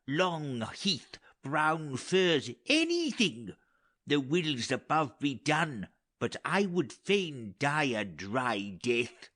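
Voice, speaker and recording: A man playing a wry, talkative old courtier in a theatrical audiobook drama, speaking in a deliberate, sardonic way. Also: a little high, fairly bright tone, voice pushed up, exaggerated voice. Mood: rueful. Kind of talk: dramatic audiobook reading of Elizabethan verse drama.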